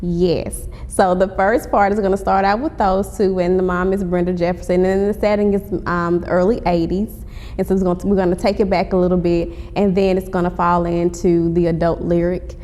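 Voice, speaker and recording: A woman's voice: level tone, fairly quick, darkish tone. A woman giving polite, conversational answers as an interview guest. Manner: polite, says ma'am to the interviewer. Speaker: interview guest, playwright and actress promoting her stage play. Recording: echoey hall, clear rumbling background noise.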